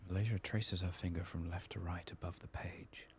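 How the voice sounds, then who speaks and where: A man speaking softly and often whispering in ASMR style as he comments on a game he plays, close to the microphone. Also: sits low, fairly even intonation, a little hiss or hum. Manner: hushed, reads quest text aloud slowly. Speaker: ASMR gaming streamer narrating a playthrough.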